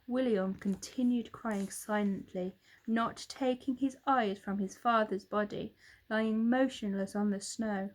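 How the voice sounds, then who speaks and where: A woman narrating a children's storybook in a dramatic, expressive way. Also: medium pitch, measured pace, rough recording. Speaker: storybook reader, teacher.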